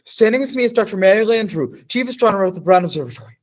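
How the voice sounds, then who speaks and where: Brief: a young man as a jittery reporter, high and quick, tripping over his words. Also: animated. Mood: nervous. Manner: stammering, hesitant. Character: nervous stammering male radio reporter. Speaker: student actor. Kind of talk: stage radio-play acting.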